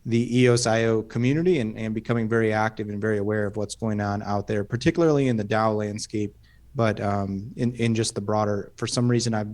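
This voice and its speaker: Relaxed, chatty man giving an update. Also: fairly low pitch. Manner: relaxed, self-deprecating, chatty. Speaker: community meeting participant. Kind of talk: casual online meeting update.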